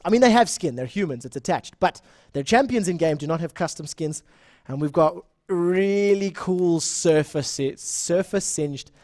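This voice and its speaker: A man's voice: sits high, a little mellow, no room sound, studio quality. A young man with a South African accent casting a live online game, talking in a chatty, rambling way, correcting himself and greeting viewers from the chat. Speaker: esports shoutcaster.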